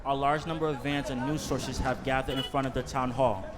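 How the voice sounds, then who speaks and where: A man's voice: mid-range voice, fairly even intonation, a little fast, lightly acted. A man reading stage directions as the narrator of a scripted staged play. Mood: neutral.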